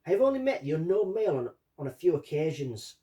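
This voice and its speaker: A man's voice: on the high side, very animated, fast, dark tone. Guy reading a statement for a true crime series, bit far from the mic, voice goes up and down a lot. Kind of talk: reading out a witness statement, with commentary. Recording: distant from the microphone.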